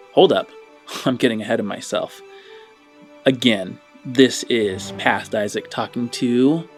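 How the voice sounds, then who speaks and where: Man, podcast host, game master, casual delivery, medium pitch, background music. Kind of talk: casual show introduction and tabletop game narration.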